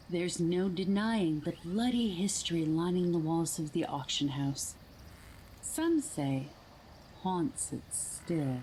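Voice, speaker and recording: A woman playing an eerie tour guide in a horror audio drama, telling the story in a theatrical, hushed way. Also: lowish voice, a little hiss or hum, rough recording. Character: eerie female tour guide of a haunted town.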